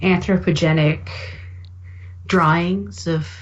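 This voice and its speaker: Low-voiced woman, muffled lo-fi audio. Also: fairly even intonation, takes it slowly. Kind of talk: casual chat. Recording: muffled lo-fi audio with faint noise.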